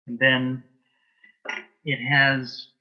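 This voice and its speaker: A man speaking as the guest in a casual interview, giving measured, concise answers. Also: medium pitch, flat delivery, lo-fi sound, a crowd in the background. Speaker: interview guest, author and playwright.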